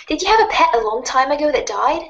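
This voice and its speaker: A woman's voice: high-pitched, animated, fast talker, highs cut off, pitched above the performer's own voice. A woman voicing an eager, awkward, friendly teenage girl in a dramatic reading. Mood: earnest, awkward.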